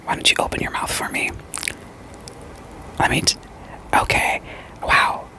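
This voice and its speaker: A woman playing a calm, reassuring therapist in an ASMR roleplay, whispering softly and breathily with gentle, deliberate questions. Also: close to an everyday voice, poor audio. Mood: gentle, probing. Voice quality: soft, breathy, mostly unvoiced whisper. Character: calm, reassuring female therapist screening patients for werewolves.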